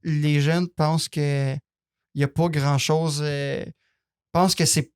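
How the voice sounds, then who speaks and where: A man's voice: a little high, level tone, slow pace, clean tone. A man hosting a podcast, asking friendly, hesitant questions. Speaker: podcast host. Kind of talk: casual interview chat.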